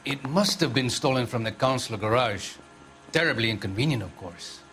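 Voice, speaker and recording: A man's voice: mid-range voice, expressive, fairly quick, fairly bright tone, lightly acted. A male actor playing a haughty, indignant Argentine consul general with a Spanish-speaking Latin American accent and formal, imperious diction in a video game. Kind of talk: dramatic video game acting. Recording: background music under dialogue.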